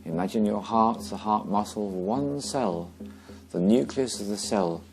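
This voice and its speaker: A man leading a guided meditation slowly and gently, pausing between short phrases. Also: on the low side, creaky, faint music behind.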